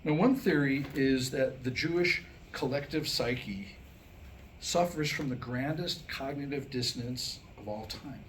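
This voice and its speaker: An American man performing a staged comic monologue in a wry, self-deprecating way, with deliberate pauses around his punchlines. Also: mid-range voice, fairly bright tone. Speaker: writer and performer reading his own new comic script. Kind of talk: staged comedic monologue to a live audience. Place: live staged reading before a small audience. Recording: noisy live room recording.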